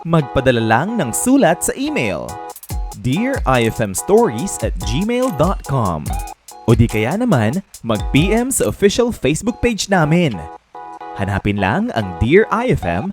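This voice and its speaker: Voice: mid-range voice, huge pitch swings, measured pace, over music. A radio announcer with a Filipino accent, delivering a promotional invitation in an upbeat, inviting way.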